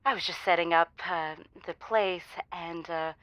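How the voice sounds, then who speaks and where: A woman playing a shy, flustered caller in an audio drama, halting and stammering with a giggly, confessional delivery over a dull phone-in sound. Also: on the low side, a bit bright, smooth, no room sound.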